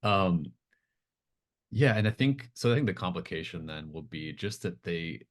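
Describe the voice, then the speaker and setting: A man's voice: low-pitched, lively intonation, moderate pace. A man acting as game master, improvising narration for a tabletop game in a hesitant, thoughtful, informal way.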